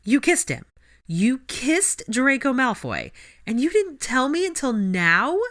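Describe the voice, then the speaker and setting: A woman's voice: medium pitch, huge pitch swings, normal speed, a bit bright, voice pushed up. A woman voicing a nosy, teasing teenage witch who pries with incredulous questions.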